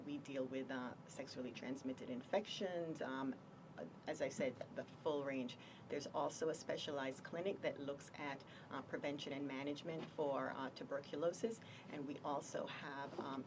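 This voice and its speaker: A woman from Jamaica, a doctor explaining her work in a radio health interview, measured and full of pauses. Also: extremely low pitch, recorded from a distance, noisy background. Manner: measured, explanatory, frequent ums. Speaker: infectious diseases doctor, guest.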